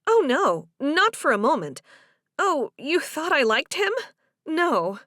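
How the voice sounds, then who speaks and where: A woman narrator acts out a young female character in an audiobook romance. The voice sits high and swings widely, shy at one moment and indignant at the next. It is expressive and full of questions, recorded close and dry. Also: slow pace, no room sound, full character voice. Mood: flustered denial.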